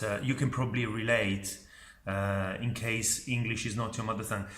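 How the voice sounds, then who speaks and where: A man's voice: medium pitch, reverberant. A man whose first language is Italian, talking casually to camera as a YouTuber, thinking aloud with lots of fillers and "okay?" check-ins. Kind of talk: casual advice talk to camera. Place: at home by his computer.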